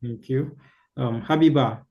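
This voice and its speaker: A man's voice: mid-range voice, measured pace, warm tone, lo-fi sound. A man moderating an online class and calling on participants over a video call. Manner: brief, calls on participants by name. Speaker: webinar moderator.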